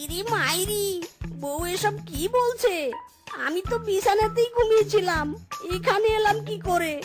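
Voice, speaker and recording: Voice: extremely high pitch, unhurried. A performer voicing a bewildered fox husband in a cartoon, plaintive and questioning, with music behind. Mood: pained, confused. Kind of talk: cartoon voice acting.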